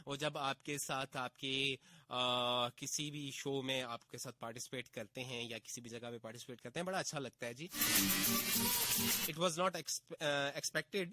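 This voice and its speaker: A man from Pakistan hosting a casual radio show, reading out listener names and messages with a slightly husky on-air sound. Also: medium pitch. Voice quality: sounds hoarse on air though he says his throat is fine. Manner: chatty, warm greetings, drawn-out filler pauses. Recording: FM radio broadcast with faint music bed.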